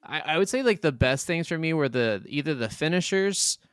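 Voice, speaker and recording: A man's voice: on the high side, animated, normal speed, clean tone, no echo, clean studio sound. A man co-hosting a podcast and chatting casually as he reviews video games. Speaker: podcast co-host. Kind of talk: casual gaming review chat.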